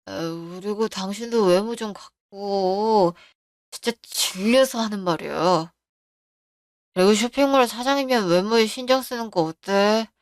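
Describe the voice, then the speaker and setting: A woman's voice: medium pitch, unhurried, no room sound, clean studio sound, close to an everyday voice. A woman voicing a brash, selfish husband who brushes off his wife's worries. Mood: dismissive. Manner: dismissive, snapping.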